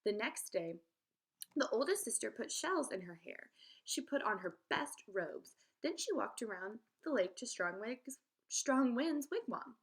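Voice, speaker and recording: A woman teacher reading a storybook aloud for children, expressive and speaking to them directly. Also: on the high side, unhurried, husky.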